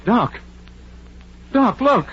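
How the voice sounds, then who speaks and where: A man's voice: very high-pitched, lively intonation, extremely slow, fairly bright tone, breathy edge, exaggerated voice. An actor playing a timid, superstitious rural man, nervous, hesitant and folksy, in an old-time radio horror drama. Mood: frightened. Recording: muffled, noisy vintage radio recording.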